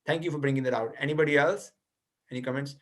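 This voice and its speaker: A man's voice: mid-range voice, steady tone, fast, fairly bright tone. A young man, a guest speaker on a video call, explaining ideas to an audience with frequent hesitant restarts. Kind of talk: lecture and answering audience questions.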